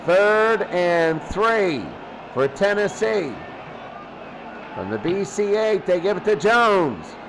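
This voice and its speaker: A man's voice: sits high, very animated, takes it slowly, background noise. A man doing football play-by-play as the announcer, often repeating his phrases. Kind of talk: amateur football play-by-play commentary.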